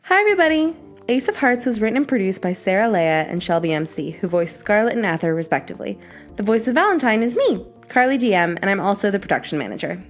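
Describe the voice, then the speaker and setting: A woman's voice: medium pitch, big pitch swings, quick pace, faint music behind. A woman, a cast member of the show, reading scripted end credits as an announcer.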